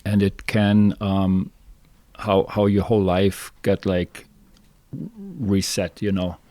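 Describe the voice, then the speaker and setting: A man from Germany with a southern Bavarian accent, a guest on a podcast telling his story in a halting way, with many fillers and restarts. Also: low-pitched, flat delivery, dark tone, dry recording. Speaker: guest, fishing guide. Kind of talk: casual interview storytelling.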